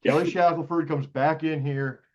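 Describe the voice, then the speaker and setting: A man's voice: a little high, steady tone, moderate pace, darkish tone, slight background noise. Blunt man, dull lo-fi audio. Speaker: panel host. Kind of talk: unscripted critical commentary.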